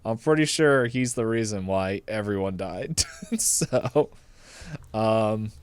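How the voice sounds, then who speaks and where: A man's voice: fairly low pitch, expressive, takes it slowly, clear, resonant tone, no echo. A man co-hosting a podcast, chatting casually about movies and retelling things in a jokey way with laughter in his speech. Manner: jokey, laughs through his own telling. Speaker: podcast co-host.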